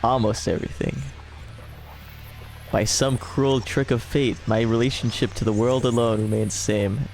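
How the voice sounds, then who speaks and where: A man's voice: medium pitch, fairly even intonation, moderate pace, gravelly, a little mellow, slight background noise. A man hosting a gaming video, switching between casual joking chat with a friend and reading a visual novel's narration aloud.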